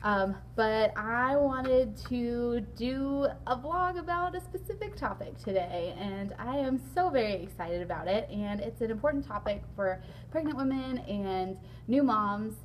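Hoarse raspy young woman hosting a vlog. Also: on the high side, expressive, unhurried, few pauses, a little hiss or hum, low-quality recording. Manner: chatty, frequent ums, laughs along. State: hoarse, lost voice. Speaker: vlog host. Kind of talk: casual sit-down interview. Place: large indoor hall.

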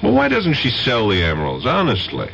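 An actor playing a tough, sardonic American detective in a radio drama, speaking in clipped wisecracks. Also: male voice, medium pitch, wildly swooping pitch, a little fast, vocal fry, a bit bright, heavily acted. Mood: brisk, wry. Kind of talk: 1970s radio drama acting. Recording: dull vintage radio recording, faint hiss.

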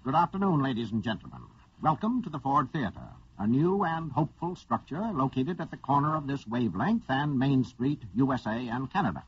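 An old muffled radio recording of a man hosting a drama program. His voice is very rough and husky, with wide, theatrical intonation in formal 1940s style. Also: medium pitch, lively intonation, a little fast. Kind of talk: 1940s radio scripted introduction.

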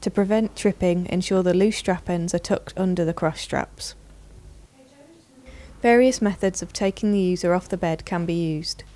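A woman reads scripted instructional narration in a measured, formal, clearly enunciated manner. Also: fairly low pitch, warm tone. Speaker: narrator of a product training video.

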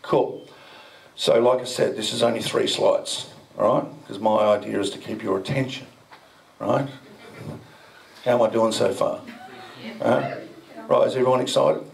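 A middle-aged man holds a handheld mic in a dim event room. He jokes and banters with the crowd in a gravelly voice, poking fun at himself as he presents. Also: mid-range voice, steady tone, normal speed, pauses often, a little hiss or hum, low-quality recording. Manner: cheeky, self-mocking, banters with the audience. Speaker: presenter at a blockchain meetup. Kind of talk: joking conference talk.

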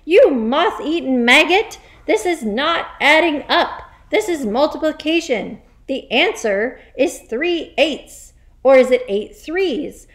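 A woman's voice: a little high, lightly acted. A woman voicing a huge, bullying headmistress in a children's story, barking orders and insults. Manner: barking, snapping, shouted commands and insults. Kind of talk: children's storybook character reading.

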